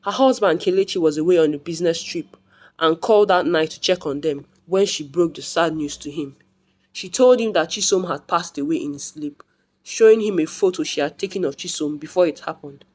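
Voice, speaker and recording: A woman's voice: deep voice, a little fast, darkish tone. A woman reading a scripted folktale as a storyteller.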